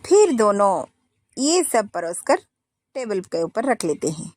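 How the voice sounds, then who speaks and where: A woman narrating a moral family story in a plain, steady way. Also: medium pitch, slow, no room sound.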